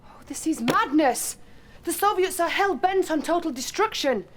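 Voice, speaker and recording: High-pitched bold female officer. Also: expressive, normal speed, slight background noise. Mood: alarmed. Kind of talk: dramatic video game cutscene acting.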